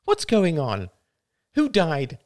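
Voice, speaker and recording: Man as sing-song, high-pitched middle-aged mother. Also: wildly swooping pitch, slow, a little mellow, no room sound, studio quality, heavily acted. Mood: sing-song, intrusive. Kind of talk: dramatic audiobook dialogue.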